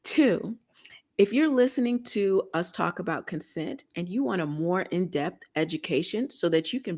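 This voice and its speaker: A woman's voice: fairly low pitch, a little mellow. A woman with a Texas accent co-hosting a casual talk show, making announcements with joking asides. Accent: Texan. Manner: chatty, joking asides while giving announcements. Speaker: radio show co-host.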